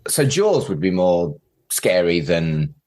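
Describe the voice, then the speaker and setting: A man hosts a podcast in a relaxed conversational style. His voice sits fairly low but is very animated, with wide swings in pitch. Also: slow. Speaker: podcast host. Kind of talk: casual conversational hosting.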